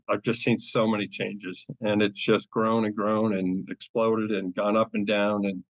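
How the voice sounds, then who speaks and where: A man's voice: lowish voice, dry recording, poor audio. Rambling Boston-accented man, dry and close. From New England. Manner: rambling and enthusiastic, many ums and restarts. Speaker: guest, cyber insurance advisor. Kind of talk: casual podcast interview.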